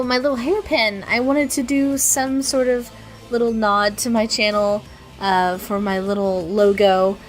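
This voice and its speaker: A young woman with a cold, her voice raspy and sniffly, rambling casually and self-deprecatingly over her art video with many ums and restarts. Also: medium pitch. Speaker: artist narrating her speedpaint.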